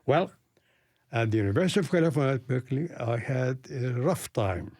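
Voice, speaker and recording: Elderly male interviewee, Arabic accent, deliberate storyteller with a mid-pitched voice, recorded dry. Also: expressive, vocal fry, a little mellow, no echo. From Palestine, grew up in Lebanon. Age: 80s.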